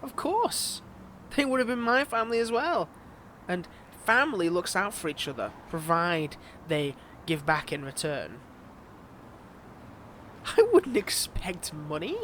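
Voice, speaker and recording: Man acting a high-voiced, smug, possessive villain ex. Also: very animated, a little slow, a bit bright, slight background noise, full character voice. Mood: coaxing, wistful, then pleading, indignant. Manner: flirtatious, taunting, theatrical, with pet names and veiled threats. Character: smug, possessive male villain wooing back a former lover. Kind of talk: dramatic audio roleplay acting.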